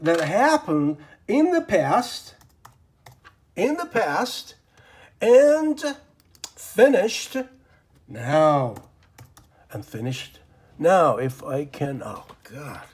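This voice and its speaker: A man whose first language is Persian teaches English grammar from his desk at an unhurried pace. His voice sits a touch high and swings widely in pitch. He rambles, fills pauses with ums and uhs, and mutters to himself as he draws on the board. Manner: rambling, lots of fillers and self-talk while drawing. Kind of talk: informal grammar lesson.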